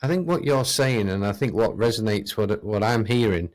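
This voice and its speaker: A man's voice: lowish voice, moderate pace, a loud crowd. A man hosting a podcast interview, affirming his guest and repeating himself as he wraps up. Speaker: podcast host. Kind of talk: casual interview, signing off.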